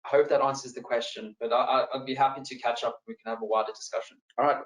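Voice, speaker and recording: A man with a South African accent hosts an online finance seminar and fields questions from viewers. He talks in a relaxed, friendly way, often pausing on fillers and repeating words. His voice sits at an ordinary pitch and carries a noticeable room echo. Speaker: financial adviser hosting a webinar. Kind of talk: presenting a live online seminar, answering audience questions.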